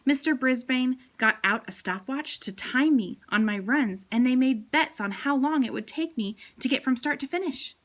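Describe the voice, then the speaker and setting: A woman reading a children's chapter book aloud as a teacher, with expressive storytelling. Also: high voice, moderate pace, hardly any pauses.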